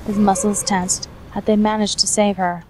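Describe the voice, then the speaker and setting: A woman's voice: mid-range voice, normal speed. A woman reading a scripted story aloud as narrator. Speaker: fan fiction reader, narrator. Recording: noisy hobby recording, steady background noise.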